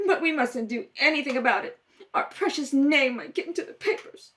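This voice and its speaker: A woman playing a distraught, weepy young wife in a radio play, wailing melodramatically in a high voice. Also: a little fast, a bit bright, slight background noise. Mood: bitter, upset. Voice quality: high, sobbing.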